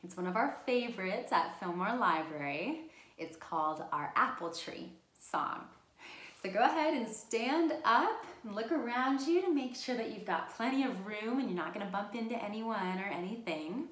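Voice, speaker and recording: A woman's voice: medium pitch, expressive, normal speed, a bit bright. A woman hosting an interactive storytime for young children, speaking directly to them in an encouraging, sing-song way. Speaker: children's storytime host at a library. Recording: roomy, echoing sound.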